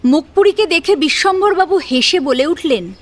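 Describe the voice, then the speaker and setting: High-pitched female story narrator. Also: lively intonation, normal speed. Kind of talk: scripted dramatic story narration.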